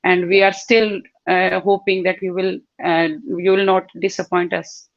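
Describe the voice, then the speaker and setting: Gravelly, flat-toned woman on a call. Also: on the low side, dry recording. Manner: pleading, heartfelt, halting with fillers. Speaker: caller on live show. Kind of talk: earnest appeal on video call. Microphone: video call. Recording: muffled video call audio.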